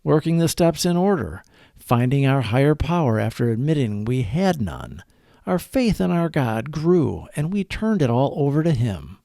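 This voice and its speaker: A man reading a scripted daily reflection aloud as host, in a measured, earnest way with deliberate phrasing. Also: mid-range voice, big pitch swings, vocal fry, dark tone, no echo, clean studio sound.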